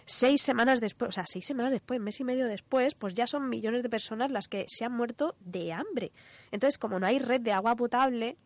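A woman from Spain with a Spanish accent talks in a fairly high voice, recorded dry. She explains things like a casual radio co-host, chatty and colloquial, peppering her account with little tag questions and fillers. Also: a little fast, no echo. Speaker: radio show co-host and economist.

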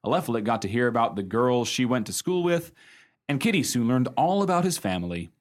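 A genial raconteur of radio drama, played by a man spins a ghost yarn conversationally. His middle-pitched voice moves up and down with lively expression. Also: fairly quick, lightly acted. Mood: confiding. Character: genial husband spinning a ghost yarn to listeners.

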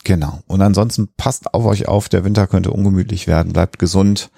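A man's voice: deep voice, steady tone, brisk, gravelly, dark tone, dry recording, clean studio sound. A man talking casually as a podcast host.

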